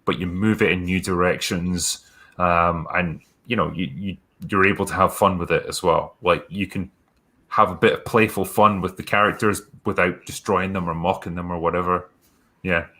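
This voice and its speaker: A man's voice: deep voice, normal speed. A man hosting a casual podcast chat, reading out viewer questions. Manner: relaxed, reads viewer questions aloud. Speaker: host.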